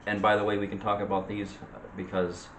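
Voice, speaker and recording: Lowish-voiced man with halting delivery, echoey room. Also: monotone, fairly bright tone. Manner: halting, hesitant phrasing, disagreeing. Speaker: co-host of a movie discussion show. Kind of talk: casual movie and TV debate. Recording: echoey room with faint background noise.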